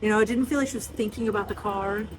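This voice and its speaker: A woman's voice: medium pitch, fairly even intonation, fast talker, noisy background. A woman chatting casually as a travel vlogger. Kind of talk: casual vlog chat.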